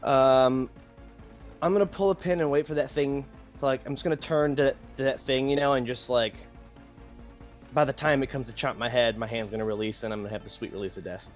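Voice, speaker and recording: A man's voice: medium pitch, fairly even intonation, a little fast. A man playing a tabletop roleplaying game, chatting casually as he works out his character's moves. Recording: dull lo-fi audio with background music. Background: music.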